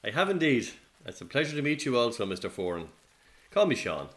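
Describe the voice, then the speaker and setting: An actor playing a polite, businesslike military bureau interviewer in a radio play, speaking formally with an Irish accent. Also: male voice, mid-range voice, big pitch swings, brisk, a bit bright. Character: courteous, businesslike military bureau official taking testimony.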